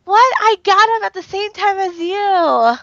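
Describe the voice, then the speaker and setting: A woman's voice: very high-pitched, lively intonation, measured pace, fairly bright tone, no room sound. A young woman chatting casually and playfully while gaming with a friend, on a slightly lo-fi line. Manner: playful, informal. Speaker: gaming companion.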